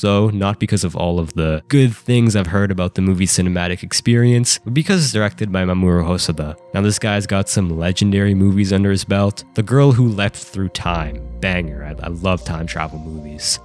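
A man's voice: sits low, darkish tone. A man in his twenties, a native French speaker, giving casual, jokey anime commentary full of slangy, self-deprecating asides into a close microphone. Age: 20s. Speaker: anime YouTuber. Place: small indoor room studio. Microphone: close desk microphone. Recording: faint music behind. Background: faint music.

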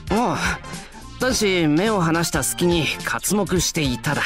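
A man's voice: fairly high pitch, animated, unhurried. A man playing an earnest, easily flustered teenage boy in an anime-style game, speaking in an awkward, evasive way. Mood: dry composure. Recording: loud background music under voice.

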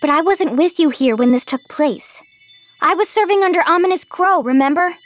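Very high, sweet girl. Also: moderate pace. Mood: troubled. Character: sweet, anxious, soft-hearted young girl of fantasy game. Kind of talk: video game voice acting.

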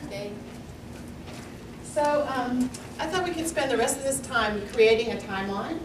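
A woman's voice: a little high, normal speed. An older woman leading a workshop in a large lecture hall, explaining the plan step by step in a friendly way. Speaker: workshop facilitator. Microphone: far camera. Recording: echoey lecture hall from far off, noisy.

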